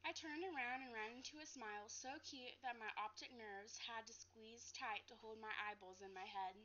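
A young woman reads a children's novel aloud in a high, bright voice. She goes carefully and sometimes repeats a phrase. The recording is dull and lo-fi, as from a webcam in a living room. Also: normal speed, slight background noise. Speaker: teacher reading aloud. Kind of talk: storybook read-aloud. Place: living room, seated on a couch. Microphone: webcam at a short distance.

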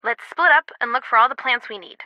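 An actress playing a brisk, wry young woman, a loyal friend and teammate, in a casual video game delivery. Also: fairly high pitch, no echo, lo-fi sound, close to an everyday voice. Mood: focused, wry.

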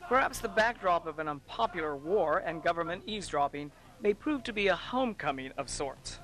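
A man delivering a scripted arts news report as a correspondent, in a measured, polished way. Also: fairly high pitch, animated, fairly bright tone, rough recording.

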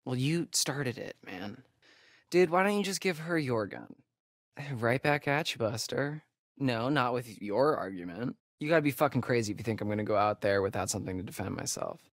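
A man's voice: mid-range voice, expressive, normal speed, no room sound. Wry, nervy young American guy, very dry recording. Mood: defiant. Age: young adult. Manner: hesitant, stammering, wry. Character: sarcastic, nervy young male camp counselor. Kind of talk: naturalistic video game acting.

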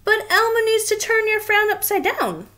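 Woman as little storybook monster, very high voice, childlike, eager, kind, swooping intonation. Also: lively intonation, normal speed, pushed and bright, heavily acted. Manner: speaks of self in third person. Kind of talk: storybook read-aloud character voice.

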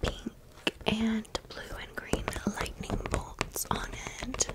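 A woman whispering in a breathy voice, chatting casually through an ASMR haul with gushing, sassy slang. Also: slow. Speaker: ASMR video creator. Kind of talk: ASMR doll haul, rambling commentary.

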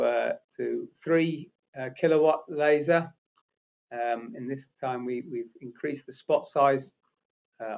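A man presenting a technical webinar, talking off the cuff with many fillers and restarts. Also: medium pitch. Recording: narrow phone-like audio.

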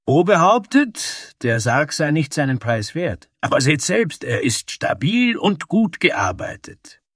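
A man's voice: fairly high pitch, big pitch swings, fairly bright tone, no echo, pitched above the performer's own voice, exaggerated voice. Man voicing a proud dragonkin craftsman, dry sound. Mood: indignant. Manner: earnest, deliberate, proud. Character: proud, sturdy male dragonkin carpenter, earnest craftsman.

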